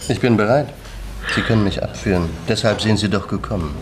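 An actor playing a guarded, resigned country innkeeper in a radio crime drama, answering in a terse, evasive way. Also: male voice, lowish voice, fairly quick, background noise, lightly acted.

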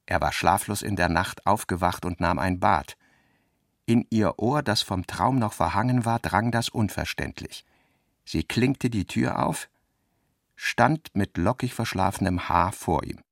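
A man's voice: low voice, measured pace, gravelly, no echo, studio quality. A man reading literary prose as the narrator of a radio drama.

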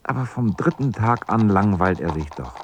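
A man's voice: fairly low pitch, animated, moderate pace, slight background noise. A man reading scripted storybook narration for a radio drama.

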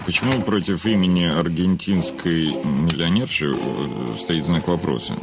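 An actor playing a successful detective novelist in an audio drama, urbane and patronizing, with hums and measured, probing questions. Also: male voice, low-pitched, music in the background. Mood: curious, probing. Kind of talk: theatrical audio drama dialogue.

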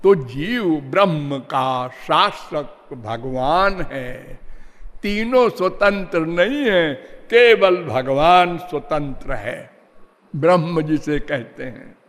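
A man giving a slow, measured spiritual discourse, drawing out his words and half-singing some phrases. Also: fairly high pitch, animated, fairly bright tone. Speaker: spiritual teacher. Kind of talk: devotional discourse. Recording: long hall reverb.

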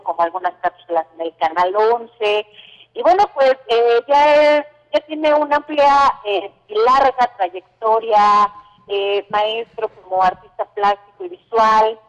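A woman's voice: mid-range voice, highs cut off. A woman hosting a community radio interview, chatty and full of fillers. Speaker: radio host. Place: radio studio.

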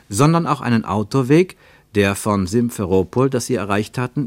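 Low-voiced man narrating a radio play. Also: no room sound. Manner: measured, descriptive, poetic.

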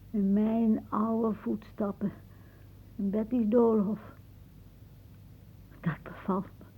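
An actress playing a wry, imaginative old aunt in a Dutch radio play, musing theatrically with pauses. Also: female voice, mid-range voice, slightly rough. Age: older. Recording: muffled phone-like old radio recording.

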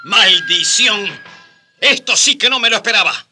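A man's voice: sits high, animated, unhurried, music in the background. An actor playing an exasperated, commanding king, imperious and emphatic, in a radio drama. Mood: alarmed, commanding. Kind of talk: Spanish-language radio drama acting.

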